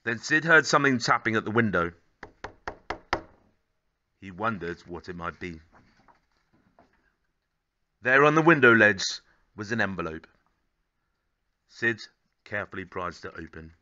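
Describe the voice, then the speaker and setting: Lively, bright-voiced man reading a storybook. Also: on the low side, measured pace, pauses often, highs cut off, slight background noise. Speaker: storybook reader. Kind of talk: expressive children's story narration.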